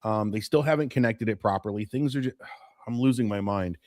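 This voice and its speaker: A man's voice: fairly low pitch, a little fast, darkish tone, no room sound, studio quality. A man in his late forties hosting a morning live stream, chatting to viewers in a loose, rambling way about how things have changed. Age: 40s. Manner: chatty, nostalgic, wanders off topic. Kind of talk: casual rambling chat to viewers.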